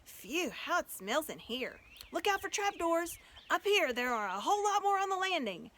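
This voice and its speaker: A woman voicing excited freed storybook creatures, calling out in urgent exclamations. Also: very high-pitched, normal speed, pitched above the performer's own voice, exaggerated voice.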